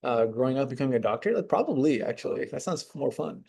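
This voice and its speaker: A man's voice: medium pitch, quick pace, vocal fry, husky. A man speaking over a video call in a casual, easygoing way. He pads his thoughts with fillers and works ideas out aloud. He gives frank verdicts. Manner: relaxed, filler-heavy, thinks aloud. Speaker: judge reviewing cold emails.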